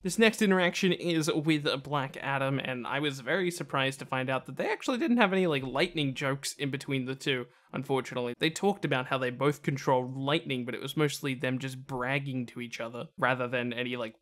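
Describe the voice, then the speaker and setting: Male video host, casual countdown commentary, medium pitch, conversational, opinionated. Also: fairly bright tone.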